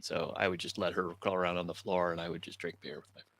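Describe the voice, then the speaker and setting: Low-voiced man hosting casually. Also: little pitch movement, quick pace, fairly bright tone. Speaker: host, moderator. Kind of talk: casual chat, interviewing.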